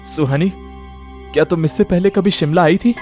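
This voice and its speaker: A male actor as an intense, demanding young man in an audio drama. His voice is pitched high for a man, and he questions emphatically over light music. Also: moderate pace, darkish tone, natural voice. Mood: puzzled, probing.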